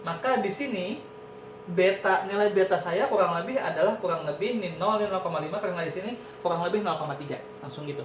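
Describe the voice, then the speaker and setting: Lecturer explaining step by step in an echoey room. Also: male voice, fast talker. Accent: Indonesian. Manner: explains step by step, often says "nah" and "ini". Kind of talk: online university lecture. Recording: echoey room with noise and rumble.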